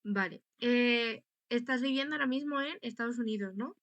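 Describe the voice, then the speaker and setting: Young woman, northern Spanish accent. Chatty student interviewer with a sharp, bright, slightly high voice. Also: moderate pace, muffled recording. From Spain. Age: young adult. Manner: chatty, colloquial. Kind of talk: informal interview.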